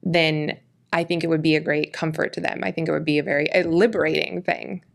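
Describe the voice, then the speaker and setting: A woman from California speaking as an interview guest, earnest and measured, often restarting her sentences. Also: low voice, flat delivery, vocal fry. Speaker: interview guest, political commentator. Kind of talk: conversational interview.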